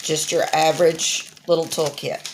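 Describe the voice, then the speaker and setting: A woman's voice: low voice, level tone, normal speed, slight background noise, low-quality recording. A woman, stuffy and nasal from a head cold, chatting casually as the host of a crafting unboxing vlog. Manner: chatty, homey, with many ums.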